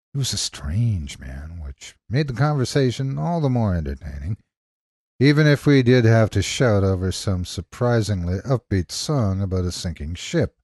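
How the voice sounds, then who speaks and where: A man reading a scripted horror story as narrator, with a husky voice and deliberate, dramatic pauses. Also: fairly low pitch, a little mellow, no echo, clean studio sound.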